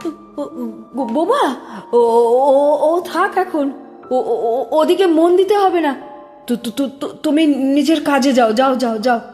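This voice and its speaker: A woman's voice: high-pitched, lively intonation, measured pace, darkish tone, long reverb tail, soft background music. A woman playing an older, bossy and evasive mother-in-law in an audio drama, stammering and repeating her commands. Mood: flustered, dismissive. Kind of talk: dramatic audio play acting.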